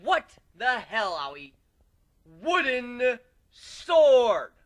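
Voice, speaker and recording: Man as bossy, sardonic griffin fighter for a story: bright, forceful, wildly animated, scolding. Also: very high-pitched, very slow, dry recording, studio quality, voice pushed up, full character voice. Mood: angry. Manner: exclamatory, sarcastic, scolding. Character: hot-headed griffin swordfighter, bossy and sardonic. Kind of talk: dramatic storybook reading.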